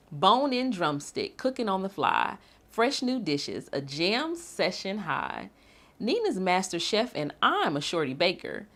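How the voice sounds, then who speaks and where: A woman reading a children's picture book aloud with a rhythmic, bouncy, expressive delivery. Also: mid-range voice. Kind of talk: animated storybook read-aloud.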